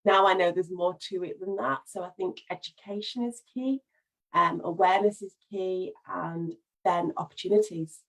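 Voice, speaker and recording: A woman introducing her coaching and tech businesses in a casual online meeting, speaking in a measured way over a video call. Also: lowish voice, fairly even intonation, vocal fry, rough recording. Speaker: business owner and life coach.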